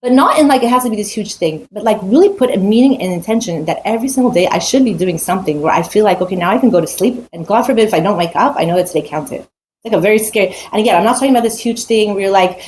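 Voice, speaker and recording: A woman's voice: medium pitch, expressive, fast talker, a little mellow, a little hiss or hum, rough recording. An adult woman teaching a weekly Torah portion in a casual, earnest way, with frequent restarts and rhetorical questions. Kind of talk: casual spoken Torah talk.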